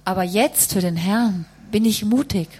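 A woman's voice: medium pitch, moderate pace, darkish tone, husky. A woman working as a live interpreter, rendering a testimony phrase by phrase and rewording as she goes.

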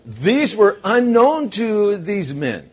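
A man preaching in short, punchy phrases and stopping after each one for an interpreter. His voice sits a bit high for a man, has a husky edge and swings widely in pitch. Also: big pitch swings, slow. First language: English. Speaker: guest preacher. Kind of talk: sermon with live interpreter.

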